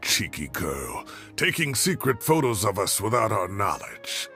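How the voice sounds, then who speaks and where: An actor playing an older, teasing, nostalgic professor with a gravelly voice in a video game. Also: male voice, on the low side, wildly swooping pitch, slow, sharp tone, full character voice. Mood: amused, nostalgic. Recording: music under the dialogue.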